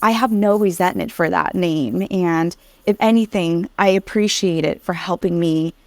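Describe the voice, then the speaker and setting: A woman's voice: on the low side, moderate pace, dry recording. Candid woman guest, from Vietnam. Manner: candid, lively, laughs while recalling. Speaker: podcast guest. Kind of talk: personal storytelling in an interview. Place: Vietnamese-born guest, raised in America.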